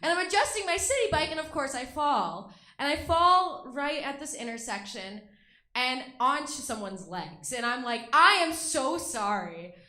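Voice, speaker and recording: Bright, bubbly young woman doing stand-up. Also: high-pitched, sing-song, unhurried, roomy sound. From Russia. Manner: bubbly, self-mocking, laughs between jokes. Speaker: stand-up comedian. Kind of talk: stand-up comedy set. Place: comedy club stage.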